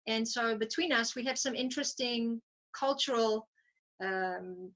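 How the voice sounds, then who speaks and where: A woman psychotherapist guest from South Africa, explaining her work in a thoughtful, measured way in an interview. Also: fairly high pitch, fairly bright tone. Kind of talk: interview conversation.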